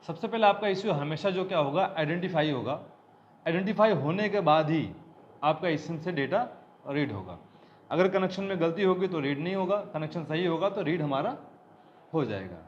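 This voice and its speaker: A man's voice: a little high, lively intonation, normal speed. A man teaching a hands-on electronics repair lesson, explaining step by step and asking and answering his own questions. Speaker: automotive electronics trainer. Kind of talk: hands-on technical tutorial. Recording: slightly dull recording, faint background noise.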